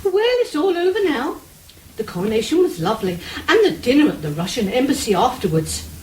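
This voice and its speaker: A woman's voice: fairly high pitch, sing-song, a little fast, darkish tone, slight background noise. A woman playing a genteel, gushing lady in a period radio play, in an echoey, distant recording.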